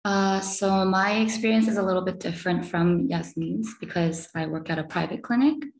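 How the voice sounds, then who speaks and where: A woman's voice: mid-range voice, fairly even intonation, normal speed, low-quality recording. A young woman in her twenties, a medical student, talking through her experience over a video call in a chatty way with lots of fillers. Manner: chatty, many fillers like "you know" and "um". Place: small room indoors.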